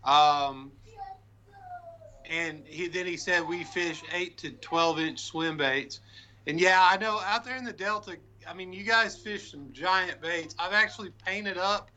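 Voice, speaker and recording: A man's voice: sits high, measured pace, bright, forceful tone, slight background noise. A man as the guest on a casual livestream interview, chatting in a friendly way with lots of fillers. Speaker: guest, lure painter.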